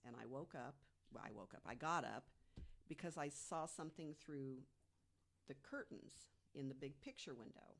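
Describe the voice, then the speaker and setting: A woman in her mid sixties with a very low-pitched voice, speaking as a podcast panelist. She tells her stories in a casual, conversational way. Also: expressive, moderate pace, vocal fry. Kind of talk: casual personal storytelling.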